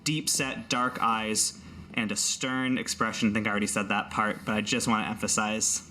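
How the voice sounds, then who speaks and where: A man's voice: lowish voice, level tone, moderate pace, sharp tone. A man hosting as game master, moving between scripted narration and casual asides in a tabletop role-playing game.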